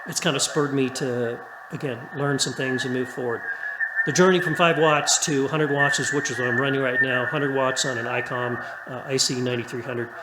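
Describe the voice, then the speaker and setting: A man gives a casual, halting, upbeat update as an amateur radio hobbyist in his radio room at home. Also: medium pitch, fairly even intonation. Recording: echoey room, clear buzzing radio static. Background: radio static and buzzing.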